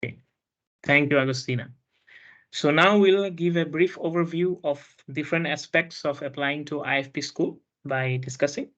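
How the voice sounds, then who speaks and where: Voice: mid-range voice, normal speed, fairly bright tone, highs cut off. A student hosting an online panel, talking hesitantly with many fillers and restarts. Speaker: panel host and student speaker.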